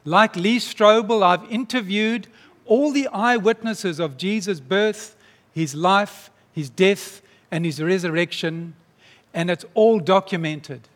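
A high-pitched male pastor delivers an earnest, emphatic sermon. His voice carries a long reverb, the sound of a big church hall. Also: slow pace, a bit bright.

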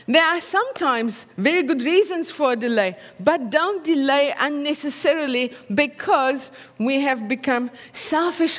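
A woman's voice: high-pitched, long reverb tail. A woman with an Afrikaans accent preaching a sermon in a conversational way, often checking in with her listeners through tag questions.

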